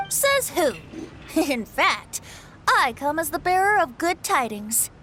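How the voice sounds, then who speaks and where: A breezy young male bard, played by a woman, with a high, lively voice that bounces around. He teases and laughs easily, never taking anything too seriously. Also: slow pace, a little hiss or hum, exaggerated voice. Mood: playful.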